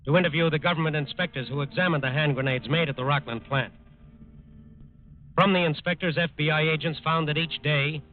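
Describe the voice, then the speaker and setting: A man's voice: on the high side, narrow, tinny audio, natural voice. Man as solemn old-time radio crime narrator. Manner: measured, emphatic, formal. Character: authoritative, solemn male crime drama narrator. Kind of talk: scripted 1940s radio narration.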